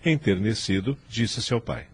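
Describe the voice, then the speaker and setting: A man's voice: on the low side, animated, moderate pace, husky. A man narrating a scripted religious radio drama in a solemn, literary storytelling manner. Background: soft music.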